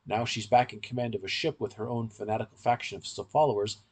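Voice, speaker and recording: A man's voice: mid-range voice, steady tone, quick pace, breathy edge. A man hosting a podcast, explaining things at length in a conversational discussion.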